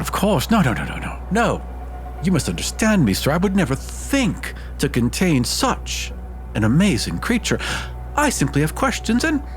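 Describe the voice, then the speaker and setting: A man's voice: on the high side, pitched above the performer's own voice. Man playing a swooping, courtly scaled mage. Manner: florid, polite, theatrical, insistent. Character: courtly, eager, blue-scaled taloned male mage.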